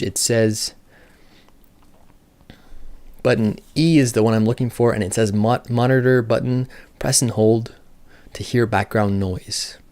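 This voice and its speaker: A man's voice: fairly low pitch, a little mellow. A man giving a casual, unscripted product demonstration, thinking aloud in a matter-of-fact way as a reviewer.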